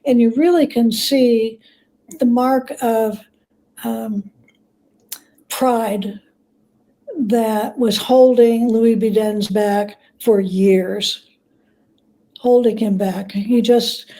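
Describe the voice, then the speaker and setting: A woman talking as an interview guest, telling stories in a halting, reflective way with repeated words. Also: a little high, fairly even intonation, mellow, low-quality recording. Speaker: guest author and biographer. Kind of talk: conversational interview storytelling.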